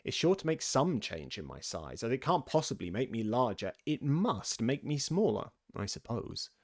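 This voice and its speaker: A man's voice: mid-range voice, sing-song, normal speed, a little mellow. Man reading as Alice, a musing plucky girl. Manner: musing, self-assured.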